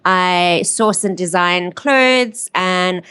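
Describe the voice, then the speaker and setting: A woman's voice: fairly low pitch, extremely slow, pushed and bright, clean tone. A woman in her thirties with a South African accent, talking openly and casually as an interview guest. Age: 30s. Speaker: interviewee, boutique owner.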